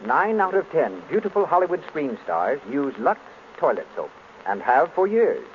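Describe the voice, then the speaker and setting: A man's voice: a little high, very animated, moderate pace. A man announcing a scripted soap advertisement in 1930s radio style. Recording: dull, noisy old radio recording.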